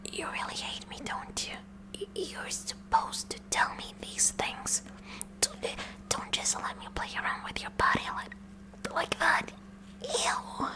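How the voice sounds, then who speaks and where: A kooky teenage science club girl, played by a woman, whispers with breathless curiosity in an ASMR roleplay. Her halting, excitable delivery swings widely in pitch and frays into a creaky edge. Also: exaggerated voice. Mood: flustered, grossed out.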